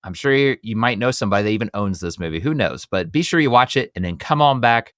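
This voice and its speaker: A man's voice: lowish voice, expressive, quick pace, a bit bright, no echo, clean studio sound. A middle-aged man with an American accent hosting a casual podcast, chatty, upbeat and enthusiastic. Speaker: podcast host.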